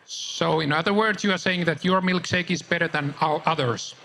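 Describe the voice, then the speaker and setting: Man, event host, unusually high pitch, bright tone, informal, faint stage noise. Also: moderate pace, gravelly. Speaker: event host introducing panel. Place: conference main stage.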